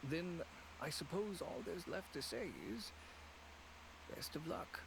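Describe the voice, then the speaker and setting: An actor playing a cautious, pragmatic middle-aged shop owner and father who speaks in a measured, advising way. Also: fairly high pitch, vocal fry, background noise. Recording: game audio with background ambience.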